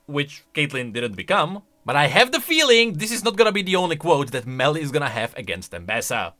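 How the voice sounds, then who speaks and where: A man's voice: on the high side, bright, forceful tone. A man giving chatty, casual commentary on video game lore, often asking rhetorical questions with emphatic delivery.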